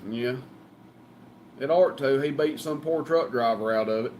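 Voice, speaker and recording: A man's voice: mid-range voice, moderate pace, a little mellow, slight background noise. A man with a Southern US accent chatting casually as a CB radio operator, folksy and joking. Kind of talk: casual banter over CB radio.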